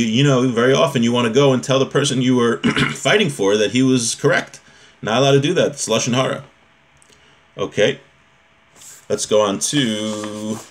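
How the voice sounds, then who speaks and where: A man, giving an informal religious lesson in a conversational, explanatory way. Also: mid-range voice. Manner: conversational, often checks in with rhetorical questions. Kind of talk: informal lecture, reading a text and explaining it. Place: small home room with bookshelf.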